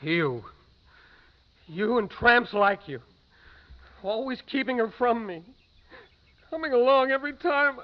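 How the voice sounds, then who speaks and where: High-voiced bitter young killer. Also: male voice, lively intonation, slow, pauses often, full character voice. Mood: spiteful accusation. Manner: accusing, menacing, spiteful. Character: bitter, jealous young male killer with a gun. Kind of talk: 1950s radio drama acting. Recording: muffled vintage 1950s radio recording.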